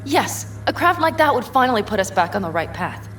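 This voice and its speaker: A woman playing a tough, determined young nomad leader in a video game, speaking in a brisk, blunt way. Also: fairly high pitch, very animated, a bit bright, slight background noise.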